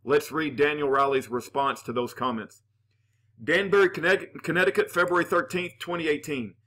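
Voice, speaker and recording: A man hosting his channel, giving opinionated commentary with Bible references in an earnest, halting way full of "uh" fillers and restarts. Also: a little high, fairly even intonation, a bit bright. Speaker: channel host reading and answering viewer comments.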